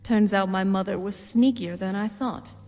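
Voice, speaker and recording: A woman's voice: mid-range voice, steady tone, normal speed, a little mellow, close to an everyday voice. Actress as a wry vampire noblewoman, music behind. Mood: cautious, curious. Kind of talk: video game character dialogue. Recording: game audio with background music.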